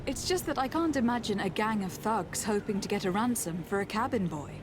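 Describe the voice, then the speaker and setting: Actress as a composed, mid-pitched inquisitive noblewoman. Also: expressive, background noise, natural voice. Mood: probing, composed. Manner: polite, measured, formal questioning. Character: composed, inquisitive young noblewoman investigator in fantasy game. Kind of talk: video game dialogue acting.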